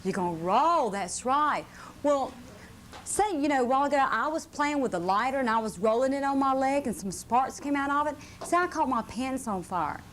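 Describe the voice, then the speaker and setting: A woman leads a children's safety lesson in a slightly high voice. She explains patiently, repeats herself and keeps checking with short questions. The live VHS recording has light background noise. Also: animated, normal speed. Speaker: fire safety presenter for children. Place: live puppet show before children. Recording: noisy live room on VHS tape.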